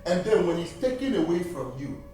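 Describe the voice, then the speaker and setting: Nigerian guy giving a church talk, lively up and down pitch, sounds far off in a big echoey room. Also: brisk, a little hiss or hum. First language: Igbo. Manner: earnest, repeats phrases for emphasis. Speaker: seminary graduate speaking at church. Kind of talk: testimony and appeal in church. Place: church sanctuary. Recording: distant, roomy church recording.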